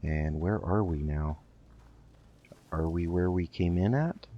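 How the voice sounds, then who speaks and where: A man doing casual game commentary, thinking aloud in an unhurried, musing way as he roleplays. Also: low voice, lo-fi sound. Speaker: gaming host.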